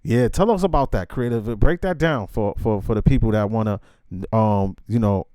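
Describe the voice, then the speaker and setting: A man's voice: on the low side, normal speed, mellow, smooth, no echo. An American man hosting a casual interview in slangy, agreeable talk, often chiming in. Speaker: interview host.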